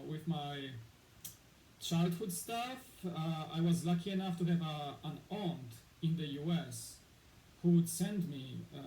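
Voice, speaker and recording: A man's voice: on the high side, dark tone. A man in his thirties from Poland, a guest in a casual interview, rambling with many fillers and restarts. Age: 30s. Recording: echoey room with faint noise.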